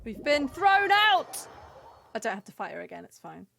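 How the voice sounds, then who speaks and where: A woman's voice: sits high, big pitch swings, normal speed, creaky, bright, forceful tone, a little hiss or hum. A woman doing casual live game commentary, with jokey, informal asides. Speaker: game streamer. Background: game music.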